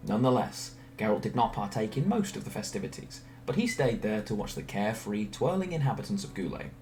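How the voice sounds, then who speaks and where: A man reading a scripted story aloud in a theatrical, expressive way. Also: on the low side, fairly quick. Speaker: storyteller reading fan narration. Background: background music.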